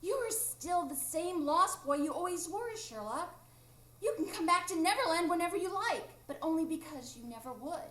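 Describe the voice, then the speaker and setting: A woman's voice: very high-pitched, animated, moderate pace, fairly bright tone. A woman playing an earnest, haunted boy adventurer in a live radio drama. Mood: defiant. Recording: echoey live theatre room.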